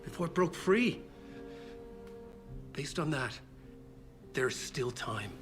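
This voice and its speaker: A man's voice: fairly high pitch, animated, takes it slowly, faint music behind. An actor playing a weary, shaken male novelist who stumbles and repeats words when unsure. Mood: earnest. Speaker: writer.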